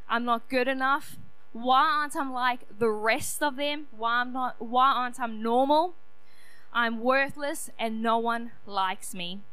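A young woman from South Africa with a loud, booming voice, giving an earnest personal testimony at a church youth night. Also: a little hiss or hum, low-quality recording. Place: church hall.

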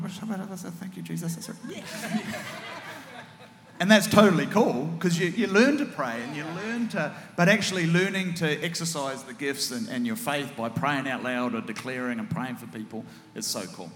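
A man with a big booming voice hosting a relaxed church panel chat, enthusiastic and affirming. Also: moderate pace, a little hiss or hum. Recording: echoey hall with long reverb.

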